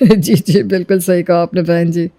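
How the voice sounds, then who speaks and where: Man acting as middle-aged uncle, high for a man, agreeable, amused, dry recording, cartoon dialogue. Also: steady tone, normal speed, dark tone, no room sound. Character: genial middle-aged male relative, easily amused. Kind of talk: Hindi cartoon moral story dialogue.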